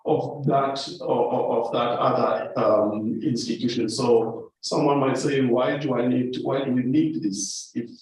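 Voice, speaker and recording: Man, mid-pitched, formal discussion, halting and repetitive, very slow, far from the microphone. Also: fairly even intonation, darkish tone. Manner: halting, searching for words, repeats phrases. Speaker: academic meeting participant. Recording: distant mic in very echoey room.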